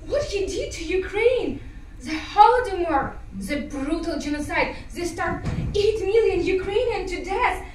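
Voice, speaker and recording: A woman acting out a fervent young daughter set on going to war, her voice very high and urgent. She is heard from a distance in a roomy space, with a faint hiss behind her. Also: lively intonation, measured pace, a little mellow. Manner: impassioned, pleading.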